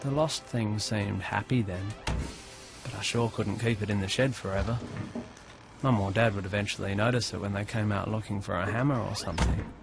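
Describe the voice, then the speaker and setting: A low-key young narrator for an animated short. His voice sits fairly low, and he tells the story in a wry, matter-of-fact way, with music or ambience behind him. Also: normal speed, gravelly. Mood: wry, resigned. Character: low-key young man recounting an odd experience. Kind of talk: scripted first-person narration of animated short film.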